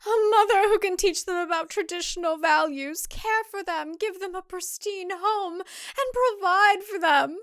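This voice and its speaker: A woman voicing an older, self-righteous cult mother in an audio drama, with a fervent, plaintive, drawn-out delivery. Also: extremely high pitch, fairly bright tone, dry recording, clean studio sound, pitched above the performer's own voice. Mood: fervent conviction.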